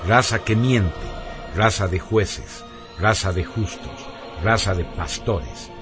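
A man's voice: lowish voice, lively intonation, takes it slowly. A man reading a scripted radio narration in a solemn, emphatic, declamatory manner. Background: background music.

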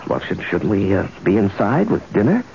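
An anxious, ambitious corporate husband in a 1970s radio play. He stammers urgently, his pitch leaping about. The recording is muffled, with a faint hiss. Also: wildly swooping pitch, moderate pace, gravelly, darkish tone, full character voice. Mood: anxious, puzzled. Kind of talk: 1970s radio drama acting. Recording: dull, noisy old radio recording.

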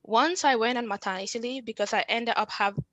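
A woman's voice: on the high side, brisk, fairly bright tone, no echo. A woman from Cameroon speaking as an interview guest in a conversational style. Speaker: podcast guest, founder and management consultant.

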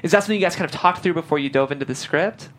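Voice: medium pitch, rapid-fire, fairly bright tone. A host running a casual panel interview in an encouraging, complimentary way.

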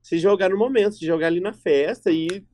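A young man co-hosting a casual chat, talking in an expressive, chatty way full of slangy fillers. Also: high-pitched, fairly quick.

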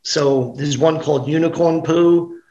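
A man's voice: a little high, a little slow, highs cut off, noisy background. A middle-aged man, a guest actor and comedian, chatting casually about his projects in an upbeat way, often restarting his sentences. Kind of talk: casual podcast chat.

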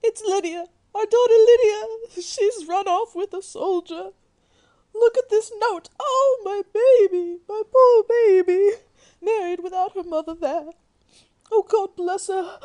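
A fussy middle-aged mother voiced by an actress in a radio play. Her voice sits very high and soft-edged, and she talks in a gushing, fluttery, dramatic way. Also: measured pace. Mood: distraught wailing.

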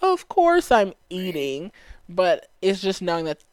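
A woman's voice: lowish voice, very animated, unhurried, clear, resonant tone, no echo. A young woman from Dallas co-hosting a podcast, chatting casually with a light, valley-girl sounding voice and lots of "like" fillers. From Dallas, Texas. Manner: chatty, many "like" fillers, laughs easily. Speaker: podcast co-host. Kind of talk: casual chat.

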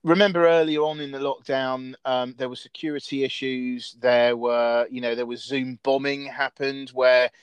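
A man hosting a casual chat, with a voice of ordinary pitch. He rambles through his points, restarting sentences and filling gaps with ums and "you know". The sound is dry and close. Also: level tone, moderate pace, hardly any pauses, clear, resonant tone, dry recording. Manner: rambling, many restarts and fillers. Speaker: host. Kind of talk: casual discussion.

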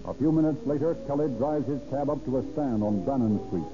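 A man's voice: mid-range voice, a little fast. Dramatic 1930s radio narrator, male. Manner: dramatic, formal reporting. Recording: muffled old radio recording. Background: music.

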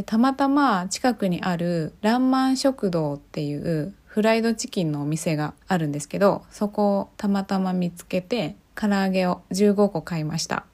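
A woman's voice: lowish voice, darkish tone. A woman hosting a podcast on her own, chatting casually and often putting questions to her listeners. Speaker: podcast host. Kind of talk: casual solo podcast chat.

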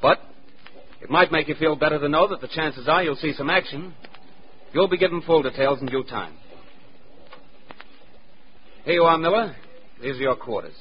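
An actor playing a cordial, businesslike American naval officer in 1940s radio drama style on an old recording. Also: male voice, fairly high pitch, lively intonation, a little fast, highs cut off, slight background noise, natural voice.

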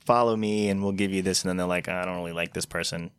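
A man's voice: deep voice, fairly even intonation, fast talker, clear, resonant tone, no echo. A man co-hosting a casual interview, joking and teasing as he talks. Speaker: podcast co-host.

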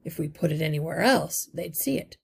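A wise old rat leader explains the plan patiently and step by step, in a woman's read-aloud voice. The tone is husky with some gravel. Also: low voice, lively intonation, darkish tone, heavily acted. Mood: explaining. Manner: measured, explanatory.

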